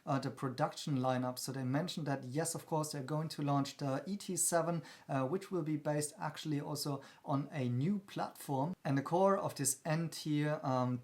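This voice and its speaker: A man's voice: fairly high pitch, darkish tone. A man hosting an investment channel, giving analytical commentary in an explanatory manner with frequent hesitations. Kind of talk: analytical commentary on company earnings.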